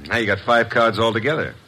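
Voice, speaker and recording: Tough slangy detective sidekick, husky, lowish, punchy, wry, old radio show. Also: male voice, lively intonation, moderate pace, bright, forceful tone, full character voice, poor audio. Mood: grudging, wry. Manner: slangy, sardonic. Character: wisecracking tough male detective's assistant, streetwise and skeptical. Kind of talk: classic radio drama acting.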